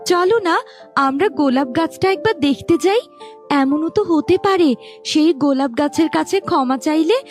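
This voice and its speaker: Woman as a high-voiced, sorrowful village mother. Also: close to an everyday voice. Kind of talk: Bengali fairy-tale cartoon dubbing.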